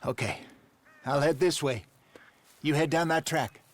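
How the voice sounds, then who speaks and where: An actor playing a weathered, wry old outlaw hunter in a video game, with an American accent and a folksy, reflective way of talking. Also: male voice, a little high, close to an everyday voice. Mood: wry, practical. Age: older. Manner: measured, folksy, wistful storytelling. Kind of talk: video game dramatic acting. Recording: game audio with loud outdoor noise.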